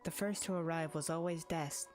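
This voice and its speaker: Woman reading as anxious lonely girl, low-pitched. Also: steady tone, normal speed, darkish tone, lightly acted. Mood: wistful. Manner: earnest, wistful inner monologue. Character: anxious, lonely young girl narrating her haunted thoughts. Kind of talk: visual novel read-aloud. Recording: music behind the voice.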